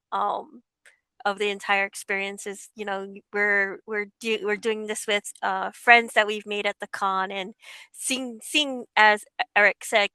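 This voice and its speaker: Woman podcast guest, really bright crisp voice, enthusiastic, chatty and emphatic. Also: medium pitch, no echo. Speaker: podcast guest, amateur voice performer. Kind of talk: enthusiastic conversational recollection.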